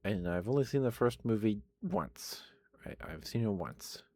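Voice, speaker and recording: A man rambling in a soft, meandering ASMR chat, with stammered restarts and fillers, close to the microphone. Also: lowish voice, animated, normal speed, no echo. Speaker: ASMR streamer.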